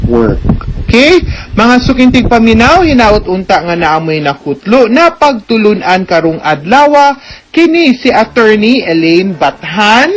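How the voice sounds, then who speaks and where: A woman's voice: fairly low pitch, slight background noise, rough recording. A woman with a Cebuano Filipino accent explains things as a legal advice host on radio, conversational, checking in with the listener and mixing in English. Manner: explanatory, with frequent tag questions.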